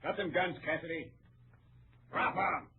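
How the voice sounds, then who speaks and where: An actor playing a menacing, taunting gunman in an old American radio western, with folksy rough grammar. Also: fairly high pitch, measured pace, close to an everyday voice. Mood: gloating, menacing. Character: gloating, menacing gun-toting western villain. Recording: noisy, muffled old radio recording.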